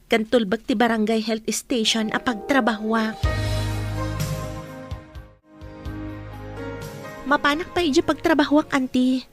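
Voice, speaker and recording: A woman's voice: a little high, close to an everyday voice. A young woman playing a caring, sociable village nurse in an Ilocano radio drama. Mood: earnest.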